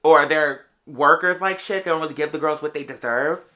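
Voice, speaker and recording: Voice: medium pitch, fairly quick, pushed and bright. A person in their late twenties with an American accent, telling gossipy stories in a casual, sassy, slang-heavy way. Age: late 20s. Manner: conversational and sassy, heavy slang, often interjecting "girl".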